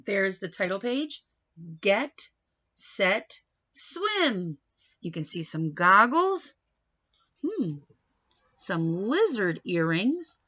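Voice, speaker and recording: A woman reading a picture book to young children like a teacher, pausing to explain and put questions to her listeners. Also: medium pitch, big pitch swings, sharp tone, lo-fi sound. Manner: instructive, questioning, addresses young listeners directly.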